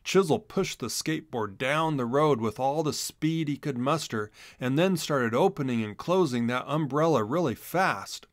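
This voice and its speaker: A man narrating a children's storybook in an expressive read-aloud manner. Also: medium pitch, moderate pace, hardly any pauses, no room sound, clean studio sound.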